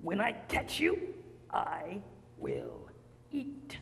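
A woman's voice: fairly low pitch, wildly swooping pitch, extremely slow, vocal fry, full character voice. A woman voicing a demanding big bad wolf. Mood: menacing. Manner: demanding, bossy questioning. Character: big hungry male wolf, menacing. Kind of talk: storytelling. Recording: echoey hall with background noise.

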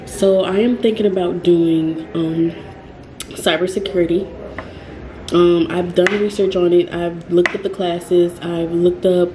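Low, flat-voiced young woman vlogging. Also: a little slow, reverberant, background noise. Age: young adult. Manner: rambling, frequent ums and self-corrections. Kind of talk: casual talk to camera.

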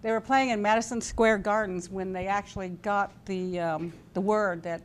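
A woman in her sixties telling a personal story as an interview guest, halting and conversational. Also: mid-range voice, steady tone. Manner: halting, conversational, voices quoted dialogue. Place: indoor TV studio-style set at a convention. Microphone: lapel mic. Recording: background noise and room ambience.

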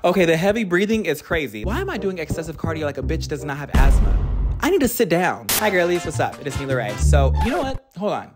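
A young man talks like a comedic vlogger, sassy and theatrical, full of casual slang. Loud music plays behind his voice. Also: fairly high pitch, big pitch swings, quick pace. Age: young adult. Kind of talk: casual comedic vlog talk.

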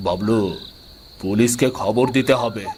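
A man's voice: fairly low pitch, measured pace, background noise, natural voice. Man playing a brusque stern doctor. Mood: commanding. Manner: brusque, commanding.

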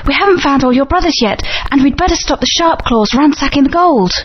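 A woman's voice: high voice, expressive, a little fast, a little hiss or hum. An actress playing a brave young female heroine who speaks with determination. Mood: determined. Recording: dull lo-fi game audio.

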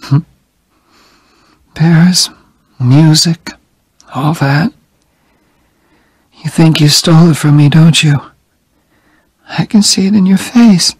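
A man voicing a frail, dying young woman who is tender and stubborn, in a breathy high whisper. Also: takes it slowly, long pauses, dry recording. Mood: fierce.

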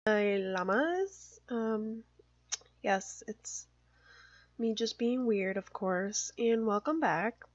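A woman's voice: medium pitch, lively intonation, very slow, dry recording. A young woman talks her way through a video game, loose and chatty, sprinkling "like" everywhere and giggling now and then. The sound is dry and a bit muffled, as from a simple desk mic at home. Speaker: gaming YouTuber. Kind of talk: casual let's play commentary.

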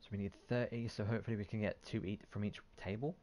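A man's voice: on the low side, steady tone, fast talker, dark tone. A man hosting a gaming video, giving casual commentary as he plays, on a close mic.

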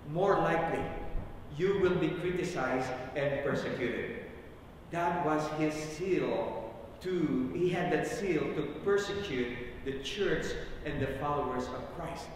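Echoey, slow-spoken male pastor preaching. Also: fairly high pitch. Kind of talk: sermon. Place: large church sanctuary, at the pulpit. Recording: very echoey church hall, distant mic, faint noise.

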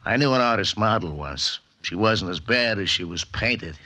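An actor playing a gruff, wisecracking police lieutenant in a 1940s radio mystery, blunt and sardonic. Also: male voice, fairly low pitch, lively intonation, moderate pace, pushed and bright. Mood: sardonic, teasing.

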